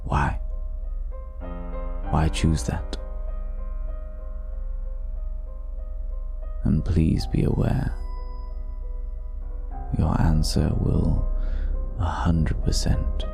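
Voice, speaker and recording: A man playing a seductive, ageless vampire in an intimate ASMR roleplay, speaking slowly and half in whispers, teasing with quiet menace, close to the microphone. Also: completely flat delivery, faint music behind, full character voice. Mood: grave warning. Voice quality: very deep, gravelly. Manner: slow, breathy half-whispered delivery with lingering pauses.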